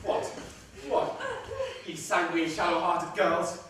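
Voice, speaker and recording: An actor playing a scheming, defiant villain father in a stage reading of verse drama, booming and scornful with mocking laughs. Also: moderate pace, fairly bright tone, natural voice. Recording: echoey room, mic far off, noisy.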